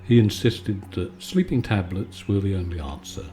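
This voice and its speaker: A man giving a calm, soothing, conversational bedtime chat in a relaxed ASMR style. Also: low-pitched, normal speed. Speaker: video maker and host.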